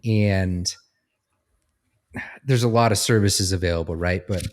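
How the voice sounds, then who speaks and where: Low-voiced man explaining casually. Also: a little slow, darkish tone. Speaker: podcast co-host. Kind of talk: casual podcast chat.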